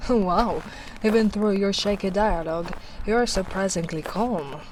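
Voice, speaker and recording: A young woman playing a gentle, protective female ogre in a soft-spoken ASMR roleplay, speaking slowly and soothingly with quiet breathy asides. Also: medium pitch, slight background noise, lightly acted, rough recording.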